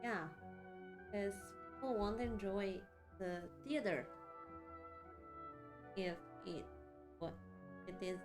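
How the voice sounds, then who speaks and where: A woman doing casual let's play commentary as a streamer, halting and offhand. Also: mid-range voice. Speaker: VTuber streamer. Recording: game music behind. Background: video game music.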